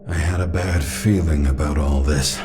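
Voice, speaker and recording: An actor playing a weary, cynical police detective in a noir video game, with a terse, deadpan delivery. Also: male voice, extremely low pitch, normal speed, over music. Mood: brooding.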